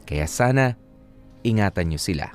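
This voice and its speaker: A man's voice: deep voice, expressive, normal speed. A man with a Filipino accent hosting a radio letter show, mixing Tagalog and English as he reads and comments casually. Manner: code-switches between Tagalog and English. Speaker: radio host reading a listener's letter and commenting. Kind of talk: radio advice show reading and casual banter. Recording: music playing behind the voice.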